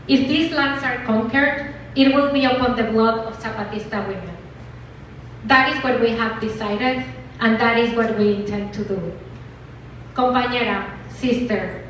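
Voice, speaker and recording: A woman's voice: fairly high pitch, a bit bright. A woman giving an earnest, declamatory dramatic reading of an activist letter at a rally. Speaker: reader at a rally event. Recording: echoey hall from far off, faint noise.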